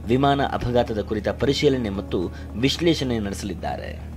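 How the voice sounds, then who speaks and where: A man delivers a scripted news voice-over. His medium-pitched voice sits over a prominent music bed, in the manner of a TV bulletin report. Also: animated, normal speed. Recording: loud music bed behind voice. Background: prominent news background music.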